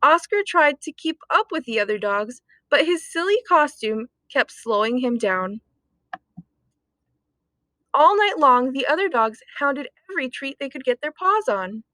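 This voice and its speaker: A woman reading a children's story aloud expressively, with deliberate dramatic emphasis. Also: high-pitched, very animated, bright, forceful tone, dry recording. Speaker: storytime reader.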